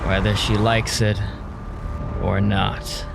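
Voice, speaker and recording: Slow-paced male dramatic narrator. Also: lowish voice, little pitch movement, vocal fry, over loud music. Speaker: narrator, storyteller.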